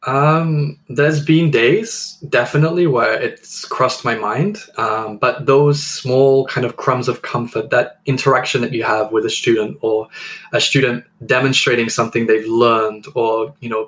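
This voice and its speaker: A man in his thirties talks in a measured, reflective way, at a middle pitch. He sounds encouraging as he co-hosts a podcast for fellow English teachers. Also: fairly even intonation, a bit bright. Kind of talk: conversational podcast discussion.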